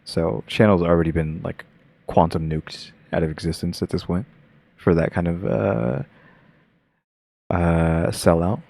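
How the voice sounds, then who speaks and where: Low-voiced male reaction host; loose, rambling delivery full of fillers. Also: a little slow, gravelly, long pauses, mellow. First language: English. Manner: rambling, heavy use of filler "like". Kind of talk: casual reaction commentary.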